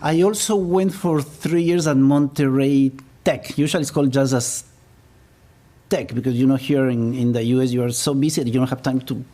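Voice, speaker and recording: A man's voice: medium pitch, steady tone, moderate pace, mellow. A middle-aged man from Ecuador with an Ecuadorian Spanish accent, Spanish as his first language, giving a public lecture as a business professor with self-deprecating humor. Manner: self-deprecating, chuckles at own jokes.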